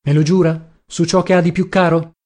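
A troubled, exasperated man from a literary novel, played by a male reader. He speaks in a fairly high voice, insistent and dramatic. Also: flat delivery, moderate pace, darkish tone, natural voice. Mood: earnest. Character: troubled, exasperated male protagonist urging a young woman.

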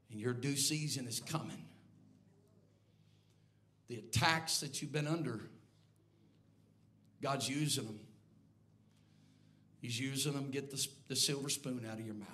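A man preaching a sermon in a church, swinging between low, hushed lines and loud, near-sung calls to the congregation. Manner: builds from quiet, low delivery to loud, near-sung shouting. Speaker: bishop preaching. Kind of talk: Pentecostal sermon. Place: church sanctuary before a congregation.

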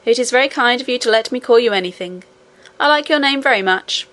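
A woman's voice: fairly high pitch, lively intonation, fast talker, a bit bright. A woman reader acts out a young miner boy from a children's storybook. He is brave, friendly and a bit teasing, with a plain-spoken, confident delivery. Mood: teasing, gracious. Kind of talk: storybook character reading.

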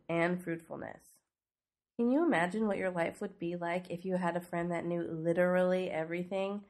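A congested woman with a snuffly nose and a fairly low voice, teaching on her devotional podcast. She talks earnestly and conversationally, asking her listeners questions as she goes. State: congested from a cold. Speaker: podcast host.

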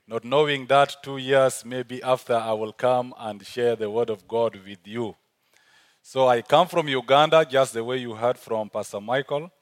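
A man's voice: mid-range voice, normal speed. A man with a South Sudanese accent preaching as a guest speaker in church. He talks earnestly and repeats key phrases for emphasis. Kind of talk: sermon.

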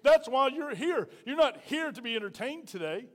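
A man's voice: extremely high pitch, sing-song, moderate pace. A middle-aged man preaching a sermon as pastor, emphatic and folksy, with repeated rhetorical questions. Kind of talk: sermon to a congregation. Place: church sanctuary. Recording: reverberant hall sound.

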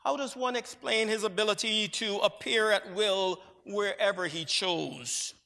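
A man from Barbados preaching a sermon, with measured, reflective storytelling. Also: high-pitched, bright, forceful tone. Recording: long hall reverb.

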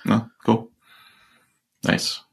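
A man co-hosting a podcast, chatting casually and rambling with many fillers and restarts. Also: very slow. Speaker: podcast co-host. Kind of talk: casual tech chat.

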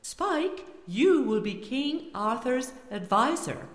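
Theatrical female teacher, grand medieval herald, cartoon, very animated, ringing hall. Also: sits high, takes it slowly, heavily acted. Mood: grand, ceremonial. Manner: grand, declamatory, formal. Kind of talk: theatrical mock-medieval announcing. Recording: echoey hall, faint crowd.

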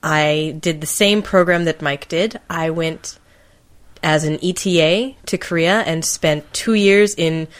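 Slightly low-voiced woman, playful podcast host. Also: slow. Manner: playful, teasing. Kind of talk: casual podcast chat.

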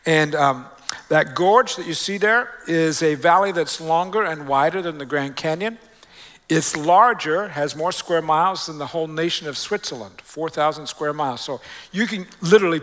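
Male pastor, slightly high-pitched, conversational preaching. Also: normal speed, fairly bright tone. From Australia. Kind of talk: sermon to a congregation. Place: church, preaching before a congregation.

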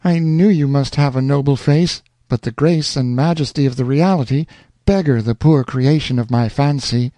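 A lovestruck young woman pours out her devotion in a raised, dreamy voice, as a man puts her on. The tone stays dark and close, with a dry, unreverberant sound. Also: very deep voice, normal speed, no room sound, voice pushed up. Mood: rapturous. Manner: gushing, breathless devotion. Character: adoring young woman in love.

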